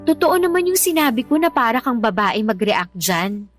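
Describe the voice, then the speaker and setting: A woman's voice: a little high, sing-song, moderate pace, faint music behind. An actress playing an affectionate, exasperated young Filipino woman in a radio drama, teasing and emphatic as she talks to her partner.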